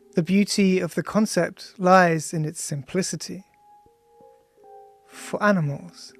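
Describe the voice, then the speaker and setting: A man with a high, noticeably creaky voice narrates an audiobook as its author. His delivery is measured and contemplative, with deliberate pauses between phrases. Music plays behind him in the studio recording. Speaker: author reading own audiobook.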